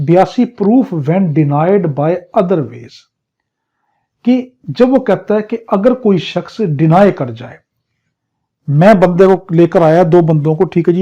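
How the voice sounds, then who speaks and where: A male law teacher with a Pakistani accent lectures in a relaxed, slightly high voice. He moves point by point, giving simple examples and answering his own questions. The recording is dull and lo-fi. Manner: explains with everyday examples, posing questions then answering them. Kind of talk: exam crash-course lecture, explaining article by article.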